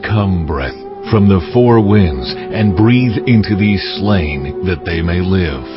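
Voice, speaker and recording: An actor playing God in a dramatized scripture reading, speaking solemnly and deliberately, in a commanding, declamatory manner. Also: male voice, low-pitched, animated. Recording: dull muffled audio with music behind. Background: music.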